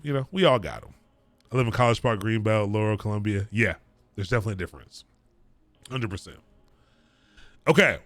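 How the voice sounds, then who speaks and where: A man from the Baltimore area with a Baltimore accent, teaching a live-streamed coding class in a quick, casual way, repeating and restarting phrases and chatting with viewers. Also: lowish voice, a bit bright, dry recording. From Baltimore area, Maryland. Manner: chats with viewers between points. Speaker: coding bootcamp instructor.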